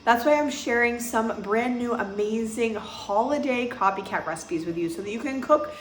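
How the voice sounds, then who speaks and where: A woman's voice: on the high side, animated, moderate pace, slight background noise. A woman in her thirties walks through a recipe in a loose, chatty way, full of little asides. Her voice sounds congested, as if she is getting over a sinus infection. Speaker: cooking channel host. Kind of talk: casual recipe walkthrough.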